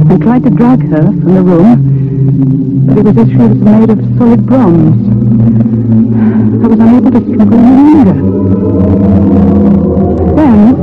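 A man playing a frightened narrator who recounts a haunting in grave, dramatic radio-drama style. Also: very high voice. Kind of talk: dramatic first-person radio thriller narration. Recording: muffled, noisy old radio recording with hum.

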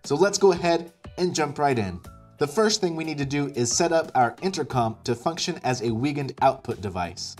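A man's voice: a little high, expressive, normal speed. A man in his thirties with an American accent, explaining step by step as an upbeat instructional video presenter. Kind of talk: instructional tutorial narration. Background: background music.

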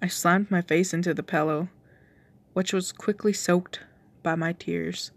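A woman's voice: fairly low pitch, steady tone, a little slow, gravelly. A woman narrator giving an amateur reading of a fan fiction story.

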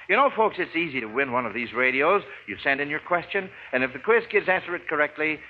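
An American man hosting a 1940s radio quiz show with folksy schoolteacher patter. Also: on the high side. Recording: dull, noisy 1940s radio recording.

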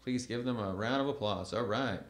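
A man's voice: medium pitch, roomy sound. Formal man announcing awards at a podium. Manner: measured, formal, reads names slowly. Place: school event stage at a podium. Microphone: podium microphone.

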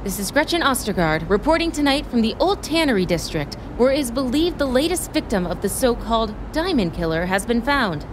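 A woman playing a pushy, driven crime reporter in an audio drama, brisk and insistent. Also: a little high, very animated, background noise. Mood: composed, on-air. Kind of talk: naturalistic audio drama acting.

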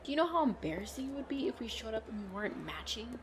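A woman playing a playful, excitable girlfriend in a chatty roleplay audio, with a bubbly, expressive delivery. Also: on the high side, quick pace, a little hiss or hum. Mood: playful pleading. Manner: bubbly, expressive, frequent giggles and exclamations. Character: playful, excitable, pleading girlfriend out costume shopping.

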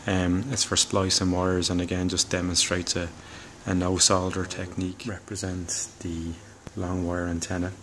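A middle-aged man giving a casual, halting DIY demonstration as a hobbyist, thinking aloud as he goes. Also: sits low, completely flat delivery, fairly bright tone, a little hiss or hum. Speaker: hobbyist presenter.

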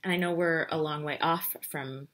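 A woman's voice: deep voice, steady tone, moderate pace, fairly bright tone. A woman in her thirties, a playwright talking conversationally to camera in a wry, emphatic way. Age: 30s. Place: bright bedroom, indoors.

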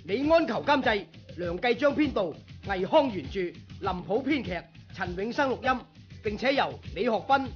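Dull lo-fi female radio announcer, Cantonese. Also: on the high side. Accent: Hong Kong Cantonese. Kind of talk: scripted credit announcement. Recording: dull lo-fi 1980s radio, music behind.

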